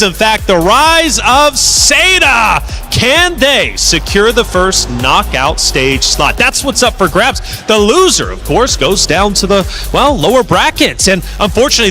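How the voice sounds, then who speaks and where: A man hosting an esports analyst desk, talking in an energetic, emphatic way. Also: fairly high pitch. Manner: energetic, emphatic hype delivery.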